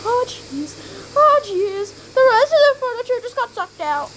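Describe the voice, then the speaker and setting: A terrified tornado survivor, acted by a woman in a homemade disaster drama. The voice is high and frantic, blurting repeated exclamations. There is clear noise on the recording. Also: big pitch swings, normal speed, a bit bright, heavily acted. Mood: panicked. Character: panicking tornado survivor huddled in shelter. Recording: clearly noisy home recording.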